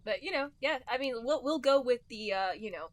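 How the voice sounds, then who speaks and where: A woman's voice: fairly high pitch, expressive, fairly quick, dry recording. A woman co-hosting a podcast in casual chat, giggly and given to hedging what she says. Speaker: podcast co-host.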